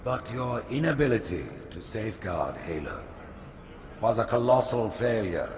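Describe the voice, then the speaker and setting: An actor playing a stern, regal alien religious leader in a video game, speaking in a grandiose, measured, formal way. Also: male voice, fairly low pitch, expressive, a crowd in the background, close to an everyday voice. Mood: solemn reproach. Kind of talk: dramatic video game cutscene acting. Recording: loud music behind voice.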